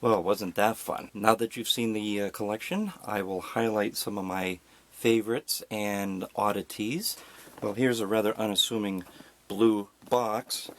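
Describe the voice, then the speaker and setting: A man's voice: on the low side, fairly even intonation, measured pace, fairly bright tone. A man casually narrating a home video tour as a collector, with wry, self-deprecating asides. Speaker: record collector presenting a collection. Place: indoors at home.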